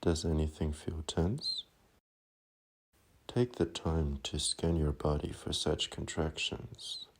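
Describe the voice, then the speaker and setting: A man leading a guided meditation in a soft, calming, unhurried manner. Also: a bass voice, slight background noise.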